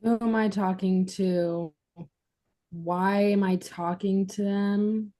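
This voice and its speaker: A woman's voice: mid-range voice, fairly even intonation, slow pace, mellow. A woman acting student answering a coach's questions in a simple, conversational way.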